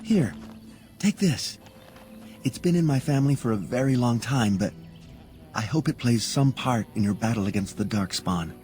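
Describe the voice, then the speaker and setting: A sincere young elf apprentice hunter, played by a male actor in a fantasy game. His voice is mid-pitched and mellow, polite and humble, over faint music. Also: expressive, normal speed, close to an everyday voice. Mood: grateful. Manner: earnest, polite. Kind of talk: fantasy video game acting.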